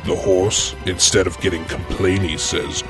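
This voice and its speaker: Deep, gravelly male story narrator. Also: flat delivery, a little slow, music in the background. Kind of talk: comedic scripted story narration.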